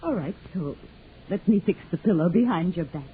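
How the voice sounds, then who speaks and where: An anxious middle-aged mother fusses and frets with a lively, swooping voice, as an actress plays her. The sound is thin and lo-fi, with faint hiss, from an old radio broadcast. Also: fairly low pitch, moderate pace, natural voice. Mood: fretful. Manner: fretful, fussing. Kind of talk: 1940s radio acting.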